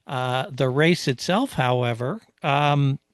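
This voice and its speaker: A man co-hosting a casual motorsport recap, speaking in a reflective, slightly halting way. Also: mid-range voice, no echo, clean studio sound. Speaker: podcast co-host.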